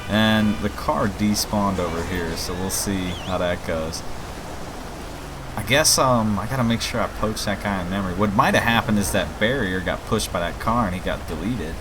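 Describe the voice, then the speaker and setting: A man's voice: on the low side, moderate pace. A man giving casual, informal let's play commentary, thinking aloud and talking to his viewers. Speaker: gaming video host and mod maker. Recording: clear game rain and traffic noise. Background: video game rain, vehicles and city ambience.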